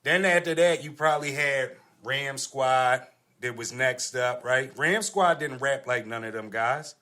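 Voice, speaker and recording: A man's voice: medium pitch, measured pace, pushed and bright. A man hosting a casual panel discussion, talking in slangy, argumentative phrases that he repeats for emphasis. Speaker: podcast host.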